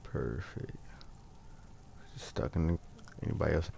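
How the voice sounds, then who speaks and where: Deep, gravelly man narrating gameplay. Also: a little mellow, slight background noise. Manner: unhurried, halting, thinking aloud. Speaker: gamer narrating his own playthrough. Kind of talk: casual game commentary.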